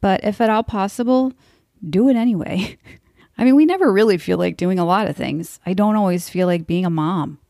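A woman's voice: mid-range voice, moderate pace, gravelly, dark tone, no echo, clean studio sound. A woman in her forties hosting a podcast, talking in a frank, blunt and wry way. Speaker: podcast host. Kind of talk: scripted podcast monologue.

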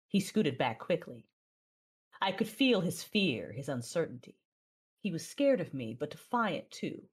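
A woman playing a resolute, battle-hardened female Jedi who tells her story in measured, dramatic first-person narration for an audio drama. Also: very deep voice, husky, heavily acted. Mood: solemn, tender. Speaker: narrator and lead character.

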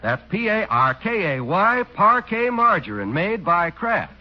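A man's voice: high voice, sing-song, measured pace, faint music behind. A man announcing and reading an emphatic, hard-sell commercial on a 1940s radio show. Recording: dull old radio broadcast.

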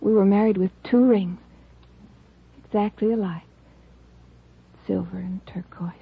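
Frail, dreamy young wife. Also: female voice, medium pitch, steady tone, unhurried, vocal fry, slightly rough, exaggerated voice. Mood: wistful. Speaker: patient character. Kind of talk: 1950s radio drama acting. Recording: tinny old radio recording with music.

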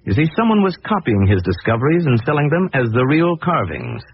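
Principled crime-fighter, authoritative yet lively intonation, narrow vintage radio sound. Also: male voice, a little high. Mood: confident explaining. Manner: authoritative, deliberate explanations. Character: calm, assured, principled male crime-fighting hero. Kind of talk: 1940s radio acting. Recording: tinny, noisy 1940s radio recording.

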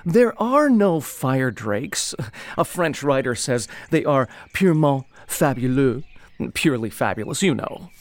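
A man's voice: medium pitch, big pitch swings, unhurried, dry recording. A man voicing a clever, smug young prince who talks in a pompous, precise way. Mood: airy, dismissive. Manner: pompous, precise, lightly amused.

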